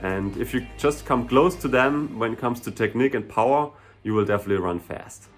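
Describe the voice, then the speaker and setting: Young adult male, German accent, easygoing vlogger, medium pitch, faint guitar music behind. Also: brisk. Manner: relaxed, chatty, many fillers like "you know" and "like". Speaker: sprinter and hurdler. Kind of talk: casual Q&A vlog.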